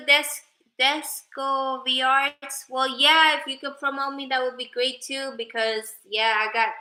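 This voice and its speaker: A woman's voice: a little high, pushed and bright, echoey room, rough recording. A woman from Sweden, whose first language is Persian, chatting casually on a livestream and thanking viewers by name. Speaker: livestreamer and advocate.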